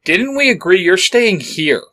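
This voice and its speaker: A man's voice: high voice, big pitch swings, measured pace, pushed and bright, slight background noise, pitched above the performer's own voice, exaggerated voice. A man voicing a stern, bossy young male vigilante who gives orders and gets exasperated.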